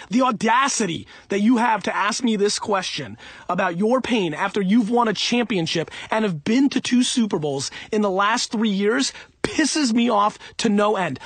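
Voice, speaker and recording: A man's voice: sits high, slightly rough, no room sound. A man of about forty giving blunt, emphatic, informal advice in an off-the-cuff monologue. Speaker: audiobook author answering listener questions.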